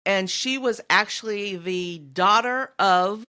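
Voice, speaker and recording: A woman's voice: medium pitch, takes it slowly, bright, forceful tone, smooth. A woman co-hosting a book podcast, discussing a story in a casual, conversational way. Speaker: podcast co-host.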